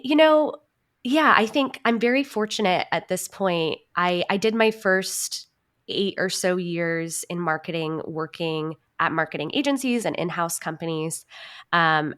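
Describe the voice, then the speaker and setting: A woman's voice: fairly low pitch, measured pace, fairly bright tone, smooth. A young woman talking casually as an interview guest, earnest and reflective, working through her thoughts in loosely linked phrases. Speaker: podcast guest, actor and marketing strategist.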